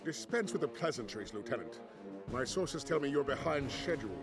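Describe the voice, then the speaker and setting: A man's voice: medium pitch, animated, a little fast, over music, natural voice. A man voicing a menacing alien corporate chairman in a video game cutscene, coldly demanding and pompous. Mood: impatient, demanding. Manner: pompous, commanding.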